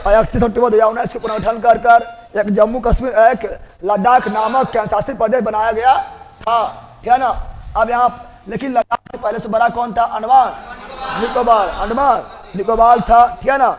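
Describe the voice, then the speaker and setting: A man's voice: extremely high pitch, steady tone, moderate pace, a little hiss or hum. A man with a Bihari accent teaching exam general knowledge, emphatically repeating key facts and quizzing the class. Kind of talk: live general-knowledge classroom drilling with repetition and question-and-answer. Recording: echoey hall with long reverb.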